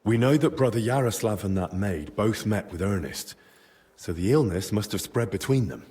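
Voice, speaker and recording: An actor playing an earnest, inquisitive young adventurer investigating in a medieval game, plain-spoken and probing. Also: male voice, on the low side, expressive, moderate pace, mellow, close to an everyday voice. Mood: explaining. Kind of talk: dramatic video game cutscene acting.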